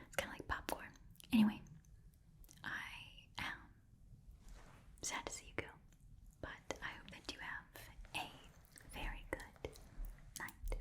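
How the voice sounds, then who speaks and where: A friendly, slightly odd goblin shopkeeper, played by a woman, murmurs in a breathy whisper for an ASMR roleplay. The tone is soft and dark, with nothing sharp in it. The goblin rambles and hesitates in a chatty, courteous way, like a small-time pawnbroker dealing with a customer. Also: sits high. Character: friendly, odd little goblin pawnshop keeper named Pick. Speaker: ASMR performer.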